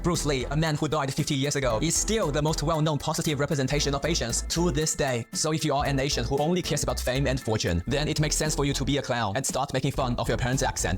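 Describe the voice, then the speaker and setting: A man narrating a scripted commentary video in a sarcastic, brisk way. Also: a little high. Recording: narration over loud music.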